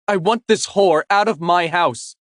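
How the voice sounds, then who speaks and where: Give me a man doing a pleading, possessive ex-wife, pitched up and teary. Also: expressive, normal speed, dry recording, clean studio sound, close to an everyday voice. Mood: tearful, spiteful. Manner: tearful, clingy, then cutting.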